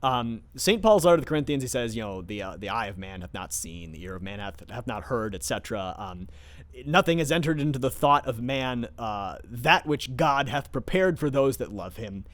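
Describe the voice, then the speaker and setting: Halting, bookish man talking theatre. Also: mid-range voice, lively intonation, fairly bright tone. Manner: halting, searching for words, enthusiastic literary explainer. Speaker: theatre director discussing his production. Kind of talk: informal, scholarly talk about a play.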